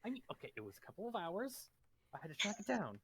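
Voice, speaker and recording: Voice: medium pitch, big pitch swings, fast talker, fairly bright tone, slightly rough, no echo. A person chatting casually while taking part in a tabletop roleplaying game.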